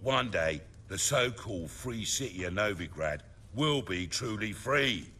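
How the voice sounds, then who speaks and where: A low-voiced scheming crime boss. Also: male voice, expressive, sharp tone, a little hiss or hum. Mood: scornful, fervent. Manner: measured, speechifying, rough colloquial speech. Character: scheming, confident middle-aged underworld boss with grand plans. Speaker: underworld leader in a fantasy game. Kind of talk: dramatic video game dialogue.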